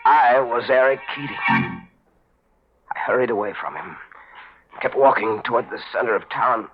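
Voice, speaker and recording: Dull vintage radio sound with music, male actor playing bewildered man, mid-pitched, intense, dramatic, haunted storyteller. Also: animated, measured pace, natural voice. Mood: haunted, grim. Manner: intense, dramatic first-person delivery. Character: bewildered, desperate man narrating his own nightmare. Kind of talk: 1940s radio mystery acting.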